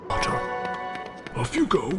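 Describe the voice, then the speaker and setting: An actor playing a kindly elderly wizard headmaster in a video game, measured and whimsical. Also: male voice, over loud music, natural voice. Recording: long hall reverb, clear ambient noise.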